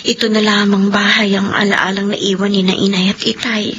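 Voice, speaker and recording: A woman acting a sentimental young woman who misses her family, in soft, wistful Filipino radio drama dialogue. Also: mid-range voice, flat delivery, normal speed, lightly acted. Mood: wistful, sad. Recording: dull lo-fi audio, faint ambient noise.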